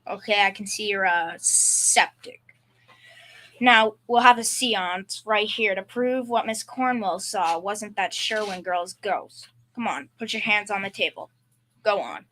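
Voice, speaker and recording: A girl playing a blustering, bright-voiced bumbling dad. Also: medium pitch, measured pace. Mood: scoffing, insistent. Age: young teen. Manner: colloquial, folksy bad grammar. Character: bumbling, blustering middle-aged working-class dad, confidently clueless. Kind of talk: school performance of old-time radio comedy.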